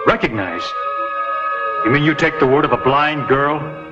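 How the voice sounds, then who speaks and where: A man's voice: mid-range voice, a little slow, lightly acted. Man acting defiant western outlaw, muffled soundtrack. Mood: scornful. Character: indignant, defiant stagecoach bandit protesting innocence. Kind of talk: old-time western television drama acting. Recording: muffled vintage soundtrack, loud music.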